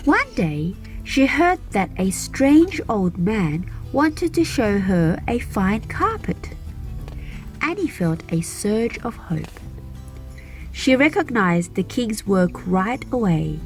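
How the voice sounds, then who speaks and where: A woman narrating a children's fairy tale aloud as a storyteller, in an expressive, deliberate manner. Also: mid-range voice, faint music behind, rough recording. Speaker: storyteller and channel host.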